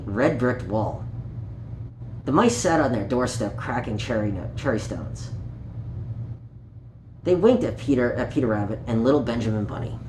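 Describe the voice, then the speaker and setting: Animated man reading a children's storybook aloud. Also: medium pitch. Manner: playful, child-directed, with small exclamations and questions to listeners. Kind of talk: read-aloud children's picture book with playful asides. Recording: roomy home recording with faint noise.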